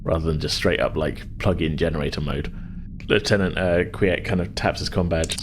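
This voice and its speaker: A man's voice: extremely low pitch, normal speed, noisy background. A man working as game master, narrating a tabletop sci-fi adventure in a hesitant, conversational, descriptive way.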